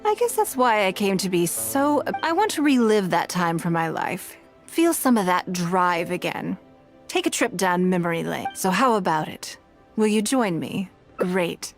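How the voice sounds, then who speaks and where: A woman's voice: fairly low pitch, big pitch swings, a little slow, faint music behind. A woman playing a courteous, driven, wealthy young businesswoman in a video game, speaking with composed, refined phrasing. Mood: eager, decisive.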